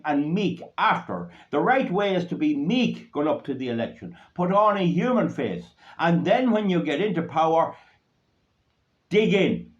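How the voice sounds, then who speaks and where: An Irish man giving a blunt, rambling political talk to supporters. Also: fairly high pitch. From Ireland. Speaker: political activist. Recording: dull lo-fi recording.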